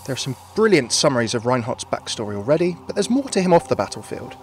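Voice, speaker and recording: A man's voice: mid-range voice, sing-song, fast talker, soft background music. A man hosting a video with scripted narration, recorded as a close voice-over. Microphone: close dry voice-over microphone.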